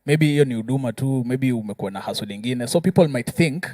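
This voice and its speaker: Kenyan male panelist, mid-pitched mellow voice, dry sound, explains through examples with frequent check-ins. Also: animated, quick pace, no echo. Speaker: musician panelist. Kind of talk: panel discussion, explanatory advice.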